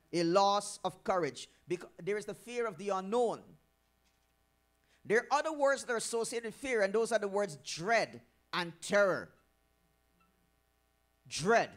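A man's voice: high voice, fairly bright tone. A middle-aged man from Guyana, preaching a sermon into a handheld mic in a church hall, stressing key words, repeating them and asking the congregation questions. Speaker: pastor. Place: church hall with curtained stage. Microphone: handheld microphone.

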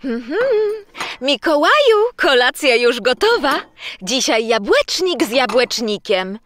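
Santa's homely wife, children's radio play: no-nonsense, slowish pace, richly varied pitch. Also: female voice, big pitch swings, a bit bright, a little hiss or hum, full character voice. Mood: homely, chatty. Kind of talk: children's audio play acting.

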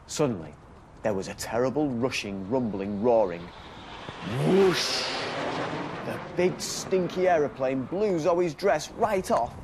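A British man in his thirties reading a children's bedtime story in a gentle, deliberate, expressive storytelling style. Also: medium pitch, background noise. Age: 30s. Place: TV studio set.

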